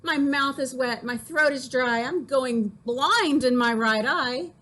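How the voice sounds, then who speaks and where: A woman's voice: sits high, takes it slowly, bright, forceful tone, full character voice. A woman voicing a whiny, melodramatic little girl faking illness in a children's poem reading, with plaintive complaining. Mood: whiny, exaggerated.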